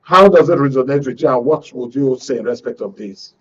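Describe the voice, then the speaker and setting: A man with a Nigerian accent presenting a current affairs talk show in a deliberate, measured way. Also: medium pitch, breathy edge. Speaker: radio and TV talk show host. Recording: dull lo-fi broadcast sound.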